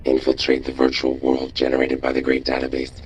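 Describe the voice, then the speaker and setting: A man playing a grave, commanding robot mission controller in a video game, terse and deadpan as he gives briefings. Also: very deep voice, brisk, slight background noise, poor audio. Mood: instructive.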